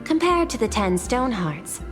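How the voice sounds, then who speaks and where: A woman's voice: a little high, very animated, moderate pace. A woman voicing a cool, precise female corporate official in a game, speaking in a clipped, exact way. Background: game music.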